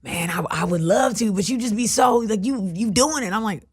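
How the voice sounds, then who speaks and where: A woman talking as a talk show guest in a casual, animated, slangy way, often acting out little imagined exchanges. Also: medium pitch, brisk, husky. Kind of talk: casual conversational chat.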